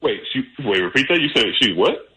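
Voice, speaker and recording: A man in a casual phone conversation on a radio show, talking haltingly and repeating himself. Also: medium pitch, sing-song, noisy background. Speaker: radio show call participant.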